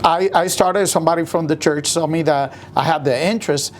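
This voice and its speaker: A man from Rico, a musician telling his story in an interview with many pauses and fillers. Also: fairly high pitch, a little hiss or hum. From Puerto Rico. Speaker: guest musician.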